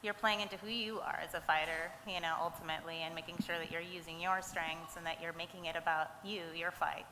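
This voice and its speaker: A woman's voice: fairly low pitch, steady tone, fairly quick, hardly any pauses, crowd noise. A woman fighter giving thoughtful, rambling answers at a press conference. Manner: thoughtful, rambling, many fillers. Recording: roomy hall, faint noise.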